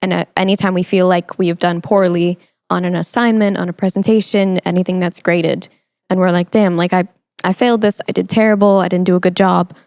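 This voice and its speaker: A woman's voice: lowish voice, level tone, a little fast, a little mellow, no room sound. A young woman hosting a podcast interview in a conversational, reflective way, thinking aloud as she phrases her questions. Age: young adult. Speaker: podcast host.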